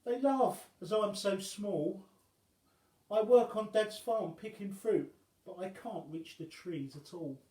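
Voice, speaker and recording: A man's voice: high voice, takes it slowly, darkish tone, roomy sound, far from the mic, pitched above the performer's own voice. A man voicing a small, sad farm boy in a children's storybook, speaking in a plaintive, emphatic way.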